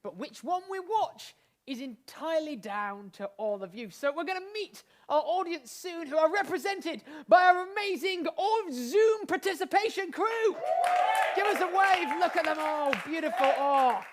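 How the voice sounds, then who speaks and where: A British man hosting a live improvised comedy show in an excitable, rambling, effusive way. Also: extremely high pitch, moderate pace, slight background noise. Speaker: host. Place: indoors, live online show.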